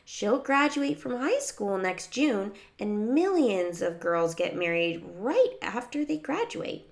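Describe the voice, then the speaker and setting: A woman's voice: mid-range voice, big pitch swings, slow, vocal fry, exaggerated voice. A woman voicing an earnest, dogged young girl, a meddling little sister in a children's audiobook, eager and pleading. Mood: insistent.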